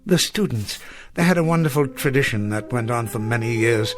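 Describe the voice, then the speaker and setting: An actor playing an elderly, courtly schoolteacher in a radio drama, wistful and nostalgic, speaking in formal, wordy, self-deprecating phrases. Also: male voice, mid-range voice, lively intonation, a little fast, natural voice. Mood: rueful. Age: older. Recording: music behind the voice.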